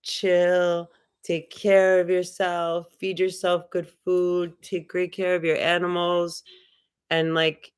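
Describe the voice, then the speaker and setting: A woman's voice: fairly low pitch, completely flat delivery, slow pace, clear, resonant tone. A young woman hosting a casual livestream, rambling and musing in a loose, spiritual way with many filler words. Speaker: livestream host. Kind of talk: casual livestream chat, reading viewer comments.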